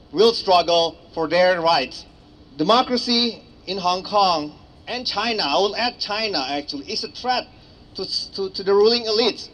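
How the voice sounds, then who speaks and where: A man's voice: high-pitched, a bit bright. A man with an Indonesian accent giving an earnest, emphatic political speech as an activist, repeating phrases for effect. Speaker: activist speaker at a rally. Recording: clearly noisy ambient public recording.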